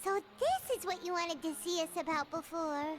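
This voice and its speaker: A woman voicing a tiny, chirpy, eager fairy companion in a video game, squeaky and childlike. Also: expressive, normal speed. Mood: curious. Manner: exclamatory, refers to self by own name. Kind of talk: animated video game dialogue. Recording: loud game music behind. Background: game music.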